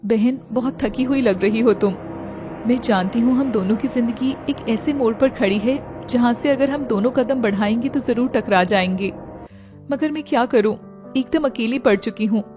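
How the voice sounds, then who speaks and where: A woman's voice: on the high side, normal speed, close to an everyday voice. A woman voicing a clingy young city woman who coaxes and pleads in a story. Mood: plaintive. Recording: music playing behind the voice.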